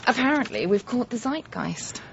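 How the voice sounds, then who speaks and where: Lively, gushing female TV executive. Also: fairly high pitch, normal speed, gravelly, highs cut off, slight background noise, exaggerated voice. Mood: enthusiastic. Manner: gushing, jargon-laden salesmanship. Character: eager, flattering television development executive, woman. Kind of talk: radio comedy drama acting.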